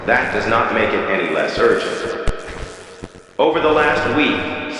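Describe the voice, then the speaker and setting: Guy doing an ominous emergency broadcast announcer, analog horror, crisp forceful voice, super echoey. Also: medium pitch, close to an everyday voice. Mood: grave, official. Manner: solemn, measured, ominous. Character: grave male official announcer of an eerie emergency broadcast. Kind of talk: scripted analog horror public safety announcement. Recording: cavernous echo and reverb, noisy tape.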